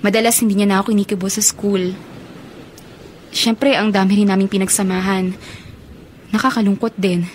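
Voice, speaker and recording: An actress playing an earnest, soft-spoken young college woman in a radio drama, troubled over a suitor. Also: medium pitch, little pitch movement, normal speed, long pauses, a little hiss or hum, natural voice. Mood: downcast. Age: young adult.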